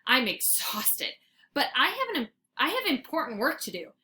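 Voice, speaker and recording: A woman reading a children's story aloud like a teacher, with dramatic, expressive narration. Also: sits high, normal speed. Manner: dramatic, animated.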